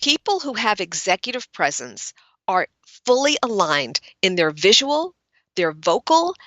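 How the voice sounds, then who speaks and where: A middle-aged woman from Brooklyn with a General American accent, talking as an executive speech coach in a podcast interview, crisp and articulate. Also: medium pitch, big pitch swings, a little slow, fairly bright tone, no room sound. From Brooklyn, New York.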